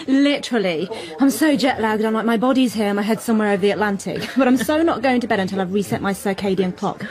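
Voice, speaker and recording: A woman playing a bubbly, hyper young studio manager in a radio drama, breezy and excitable. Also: mid-range voice, fast talker, lightly acted. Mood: hyper, buzzing. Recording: noisy bar crowd behind.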